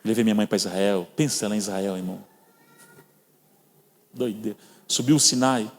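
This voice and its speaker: A man's voice: on the low side, moderate pace. A man with a Brazilian Portuguese accent preaching a sermon, telling personal stories and calling the listeners brothers. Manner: storytelling, addresses listeners as brothers. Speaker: guest preacher. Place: church hall. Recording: long reverb of a church hall.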